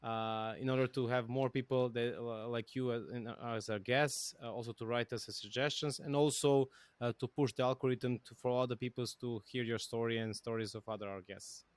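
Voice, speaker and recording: A man's voice: medium pitch, fairly even intonation, moderate pace, hardly any pauses, clear, resonant tone, no room sound. Serbian-accented male host, dry close sound. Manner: rambling, thinking aloud with fillers. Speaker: podcast host. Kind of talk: conversational interview.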